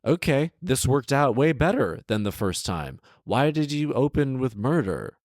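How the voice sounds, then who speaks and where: A man's voice: mid-range voice, sing-song, measured pace, a little mellow, no room sound, clean studio sound. A man hosting a storytelling show, reading aloud and breaking into informal, sarcastic commentary. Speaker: storytelling podcast host.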